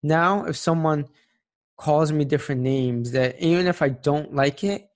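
A man's voice: fairly high pitch, measured pace. A man in his late twenties speaking earnestly and reflectively in a personal monologue, plain-spoken and sincere. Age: late 20s. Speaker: speaker sharing personal experience.

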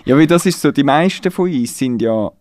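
Mellow Swiss man, thoughtful. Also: medium pitch, lively intonation, brisk, no echo, studio quality. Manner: thoughtful, searches for words with restarts. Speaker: guest musician. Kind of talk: casual interview conversation.

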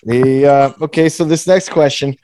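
A man hosting an interview in casual chat, his voice raspy, blunt and swearing freely. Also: mid-range voice, normal speed, warm tone, dry recording. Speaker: podcast host.

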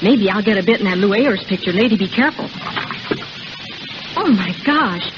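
A woman's voice: medium pitch, expressive, normal speed, music in the background, close to an everyday voice. A woman playing a scatterbrained, pleading young movie extra in a 1930s radio advertisement. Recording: muffled noisy 1930s radio recording.

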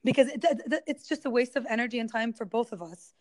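A woman from the United States, a guest who works as a healer, talking earnestly and in an animated way in casual conversation. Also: a little high, quick pace.

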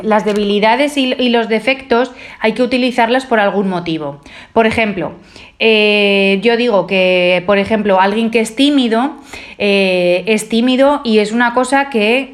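A woman from Spain hosting a solo self-help podcast, talking gently and encouragingly to her listeners, with frequent hesitant pauses. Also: medium pitch. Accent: Spain Spanish. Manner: hesitant, many drawn-out fillers. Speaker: podcast host.

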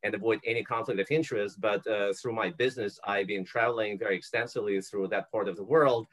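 A man from Ukraine hosts an interview, winding through long questions with plenty of "uh" and "you know". His voice sits fairly low. He laughs easily between thoughts. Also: steady tone, fast talker, a bit bright. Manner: long rambling questions with many fillers. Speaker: host. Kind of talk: interview podcast.

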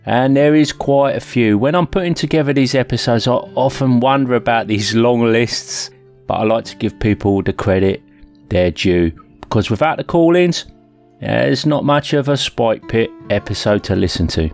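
A man's voice: mid-range voice, moderate pace, darkish tone, soft background music. A man hosting a podcast, talking casually and informally in a self-deprecating way. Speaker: podcast host. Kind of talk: casual solo podcast talk.

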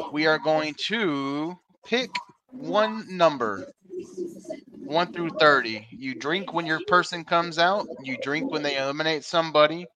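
A man's voice: on the high side, poor audio. A man hosting a live podcast at a bar and grill, chatting casually and laying out drinking-game rules. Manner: laid-back, explains drinking-game rules. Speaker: podcast host.

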